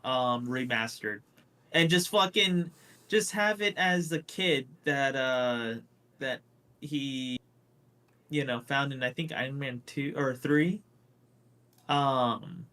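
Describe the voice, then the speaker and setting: A man's voice: medium pitch, bright, forceful tone. A man co-hosting a casual pop culture podcast, opinionated and swearing freely as he talks. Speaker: podcast co-host.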